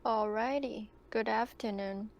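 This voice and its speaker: A woman chatting casually and haltingly as a player in an online tabletop game. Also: a little high, fairly even intonation. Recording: noisy online voice chat.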